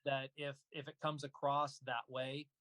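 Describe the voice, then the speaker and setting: A man's voice: mid-range voice, level tone, a little slow, bright, forceful tone, no room sound. A man talking casually as an interview guest, explaining his craft thoughtfully and step by step. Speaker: guest audio dramatist.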